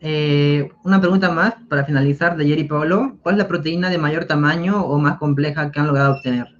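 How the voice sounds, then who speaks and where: A man's voice: fairly high pitch, fairly even intonation, a little fast, dull audio. Man moderating, reading questions aloud. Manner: polite, reading questions with hesitations.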